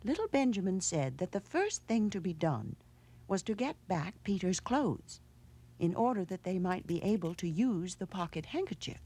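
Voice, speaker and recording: A woman reading a children's storybook in a measured, expressive storytelling manner. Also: fairly low pitch, slightly rough. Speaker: storybook narrator. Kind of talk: scripted children's storybook narration.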